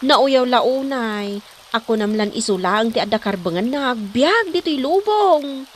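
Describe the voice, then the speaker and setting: A woman's voice: a little high, animated, measured pace, slight background noise. A woman playing a practical, frank young snack vendor in an Ilocano comedy drama, speaking with an Ilocano accent. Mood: admonishing.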